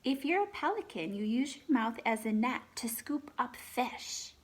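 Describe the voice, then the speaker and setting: A woman with a fairly high voice reads a picture book aloud to children in an expressive, teacherly way. She breaks off for chatty asides and questions to the listeners. Faint noise sits behind her voice. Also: moderate pace.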